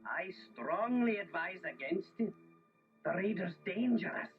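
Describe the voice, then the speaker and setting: Lively, ominous Scottish lawyer. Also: male voice, sits high, measured pace. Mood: ominous warning. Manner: formal, warning, theatrical. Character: cautious, ominous Scottish village lawyer, male. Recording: muffled old story tape, clear music behind.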